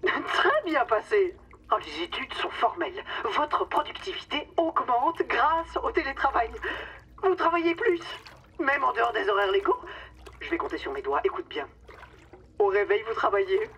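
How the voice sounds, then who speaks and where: A woman's voice: a little high, expressive, moderate pace, a bit bright, recorded from a distance, dull audio. A cynical, controlling woman boss played by an actress in a radio satire. Her intonation swoops widely as she talks down to her listener with theatrical, false sweetness. She sounds a little away from the mic, over faint background noise. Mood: gleeful, cynical. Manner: condescending, theatrical, mock-sweet. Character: domineering, cynical, manipulative female boss. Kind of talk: satirical radio drama dialogue.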